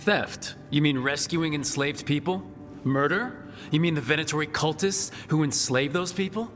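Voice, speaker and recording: A man's voice: a little high, normal speed, fairly bright tone, soft background music, natural voice. Man acting a cheeky young fantasy rebel hero. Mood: defiant, flippant. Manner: flippant, conversational.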